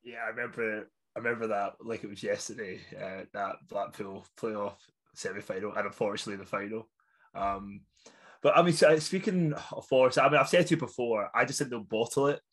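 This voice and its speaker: A man's voice: fairly low pitch, brisk. A young adult man with a Scottish accent, co-hosting a casual football podcast, rambling with fillers and laughter. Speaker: podcast co-host. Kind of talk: casual chat.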